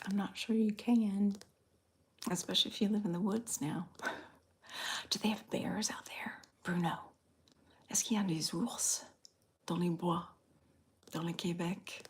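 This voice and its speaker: Slow, gravelly whispering Southern woman. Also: medium pitch, fairly even intonation. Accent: slight Southern US. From Southern United States. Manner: soft unvoiced whisper, unhurried and drawn-out. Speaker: ASMR streamer reading viewer chat. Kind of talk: ASMR whispered live chat.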